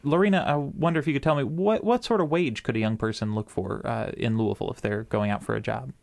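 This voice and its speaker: A man's voice: mid-range voice, fast talker, gravelly, a little mellow, no echo. A man hosting a live radio news special with listener calls. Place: radio studio.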